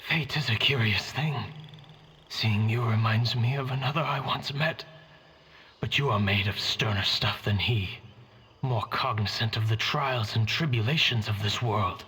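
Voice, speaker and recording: An actor voicing the solemn, melancholic mind fragment of a dead scholar in a game, with a measured, theatrical delivery that sinks toward breathy near-whispers. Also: male voice, mid-range voice, pushed and bright, a little hiss or hum. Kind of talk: dramatic game voice acting, slow monologue.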